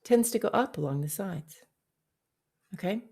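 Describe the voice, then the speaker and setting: A woman vocal coach with a fairly low voice, teaching an online singing master class and breaking off to sing short demonstration notes. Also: lively intonation, normal speed, gravelly, warm tone, slight background noise. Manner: explains briefly, then sings short demonstration notes. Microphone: video call.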